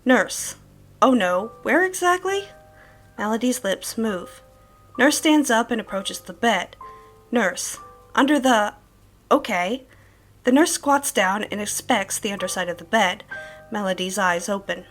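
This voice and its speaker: A woman reading a nurse's conversation transcript in a horror story, measured as she moves between stage directions and quoted lines. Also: medium pitch, animated, fairly bright tone, soft background music. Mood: measured, eerie. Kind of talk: scripted transcript narration.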